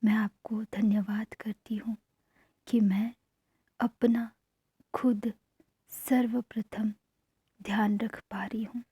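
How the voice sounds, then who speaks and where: A woman narrating guided meditation affirmations in a hushed manner, pausing between short phrases. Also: a little high, little pitch movement, breathy edge, no echo.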